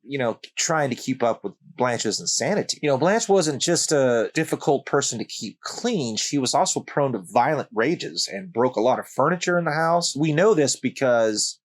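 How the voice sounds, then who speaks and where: A man narrates a strange true story for his own channel, at an ordinary middle pitch. He talks loosely and conversationally, stopping now and then for wry asides aimed straight at the listener. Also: lively intonation, moderate pace, hardly any pauses. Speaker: channel host.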